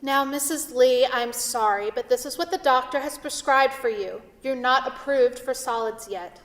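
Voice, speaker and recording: Woman playing a high-voiced, stern hospital nurse. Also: moderate pace, a bit bright. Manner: firm, formally polite. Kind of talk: staged play reading. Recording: echoey hall from far off.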